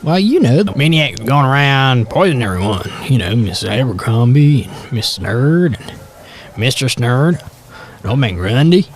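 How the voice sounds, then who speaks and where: An actor playing a chatty, ominous small-town sheriff in a horror audio drama, with a folksy, hesitant drawl. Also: male voice, medium pitch, lively intonation, normal speed, lightly acted. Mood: ominous warning.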